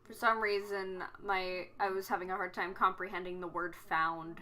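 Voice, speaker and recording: A woman's voice: lowish voice, little pitch movement, moderate pace, bright, forceful tone. A woman with a scratchy voice, chatting casually and agreeably while editing a script. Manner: laughs easily, agreeable.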